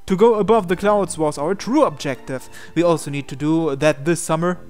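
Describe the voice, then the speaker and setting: Man, slightly high voice, let's play narrator reading game text with asides, faint music behind. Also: moderate pace, darkish tone. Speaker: gamer reading a visual novel aloud.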